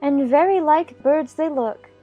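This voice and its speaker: Woman doing a little storybook girl, high voice, proper but feisty and emphatic, bit of music under it. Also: unhurried, muffled recording. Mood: earnest. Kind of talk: dramatized storybook dialogue.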